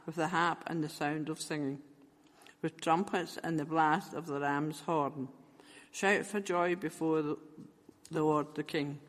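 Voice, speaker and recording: A man reading scripture aloud in a measured, careful way. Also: fairly high pitch, big-room sound. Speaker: scripture reader.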